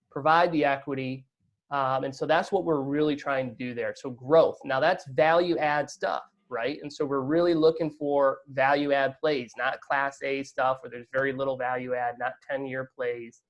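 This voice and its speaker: A man's voice: a little high, muffled recording. A man answering as a guest in a business interview, talkative and explanatory, often checking that he is understood. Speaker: interview guest, real estate fund operator.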